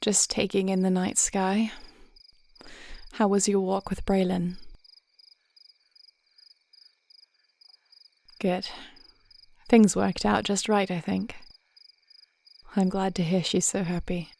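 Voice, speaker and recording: A woman playing a devoted, wise dragon queen wife in an intimate fantasy audio roleplay, speaking in a measured, tender, formal way. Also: mid-range voice, little pitch movement, vocal fry, a little mellow. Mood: tender, relaxed.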